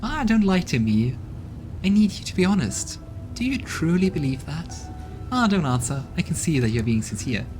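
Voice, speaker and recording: A seductive succubus lady of the upper city, wistful and softly persuasive, as a man raises his voice to put her on. She muses in a lifted, airy register over steady background game music. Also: on the high side, normal speed, pitched above the performer's own voice. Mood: pleading.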